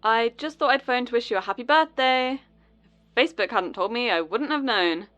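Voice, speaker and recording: A breezy young sister in an audio drama. Her voice is fairly high, bright and very clear. She chats in an offhand, chirpy way, like a casual phone message. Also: lively intonation, fairly quick, faint music behind. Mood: breezy, cheerful.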